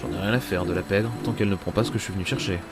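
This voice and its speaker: Guy doing a gruff tired adventurer in an audio drama, grumbles to himself, music under it. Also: low-pitched, steady tone, very fast. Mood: calm, firm. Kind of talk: audio drama acting with inner monologue.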